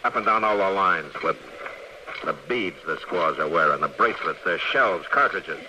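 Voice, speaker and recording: An actor playing a stern, weary middle-aged US Marshal of the Old West in a 1950s American radio western, speaking gravely and bluntly with an American accent. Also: male voice, low voice, lively intonation, normal speed, sharp tone, full character voice. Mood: grim, accusing. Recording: noisy vintage radio recording.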